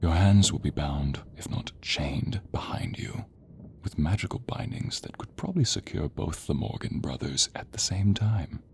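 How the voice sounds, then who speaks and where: A man voicing a sadistic, theatrical sorcerer villain in an audio roleplay, silky and menacing, drawing out words and slipping into breathy whispers. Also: extremely low pitch, moderate pace. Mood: gloating, sinister. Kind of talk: audio roleplay acting addressed to the listener.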